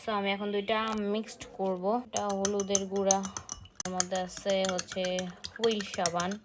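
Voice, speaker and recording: Young woman, Bangladeshi accent, mid pitch, excited vlog chatter, some English mixed in, faint background noise. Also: normal speed. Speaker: vlogger. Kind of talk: casual vlog, mixing in English. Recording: noticeable background noise, clicks.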